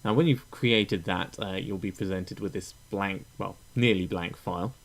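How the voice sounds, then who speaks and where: A man narrating a step-by-step software tutorial in an informal, explanatory way. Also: deep voice, normal speed. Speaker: tutorial presenter.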